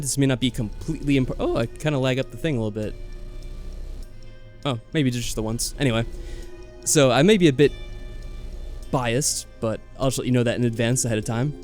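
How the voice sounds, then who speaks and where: A male let's play host with an average-pitched voice talks casually over soft music. He rambles a little and tends to qualify what he says as he comments on the game. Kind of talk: casual chat and game commentary, reading game text aloud. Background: game music behind the voice.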